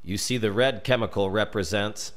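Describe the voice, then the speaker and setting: A man's voice: on the low side, normal speed, close to an everyday voice. An American man voicing an enthusiastic male school science teacher who proclaims grandly in a storybook reading. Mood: pleased, explaining.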